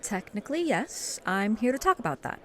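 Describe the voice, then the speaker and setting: A wry investigative journalist. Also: female voice, mid-range voice, sing-song, fairly quick, a bit bright. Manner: confident, wry. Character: sharp female investigative journalist, confident.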